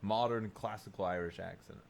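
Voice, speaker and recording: A man with a slightly low voice talks casually as a podcast co-host. He comes across as opinionated, speaking in a questioning, matter-of-fact conversational way. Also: steady tone, a little fast, gravelly. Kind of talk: casual chat.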